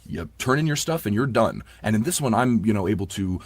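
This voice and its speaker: A man with a very low voice, recorded close and dry. He talks casually as a narrator being interviewed, rambling and often restarting his sentences. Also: animated, brisk, husky, dry recording. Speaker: audiobook narrator and producer.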